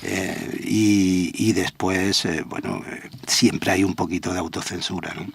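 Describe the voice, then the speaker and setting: A man's voice: deep voice, steady tone, unhurried, vocal fry, slightly rough, dry recording. A man, a journalist guest on a radio interview, thinking aloud and often restarting his sentences. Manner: reflective, searching for words with restarts. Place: local radio studio.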